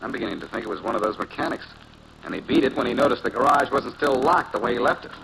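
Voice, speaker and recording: Wisecracking, gravelly 1940s radio sleuth. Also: male voice, fairly low pitch, big pitch swings, fast, heavily acted. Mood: thoughtful. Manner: teasing, playful, wry. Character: breezy wisecracking male amateur sleuth, skilled lock picker. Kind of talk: 1940s radio detective acting. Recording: noisy 1940s radio broadcast recording.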